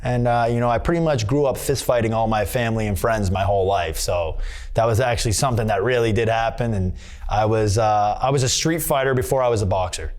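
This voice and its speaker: Rambling New boxer, filler-heavy. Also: male voice, fairly low pitch, fairly quick, a little mellow. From Massachusetts. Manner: rambling, many fillers and restarts. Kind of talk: candid sit-down interview. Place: boxing gym.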